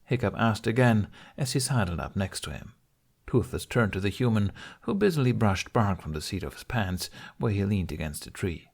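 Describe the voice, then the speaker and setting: Low, creaky male audiobook narrator. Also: lively intonation, moderate pace. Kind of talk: scripted fiction narration.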